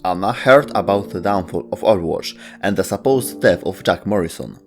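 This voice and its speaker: A man giving scripted narration as the host of a video game lore show. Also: deep voice.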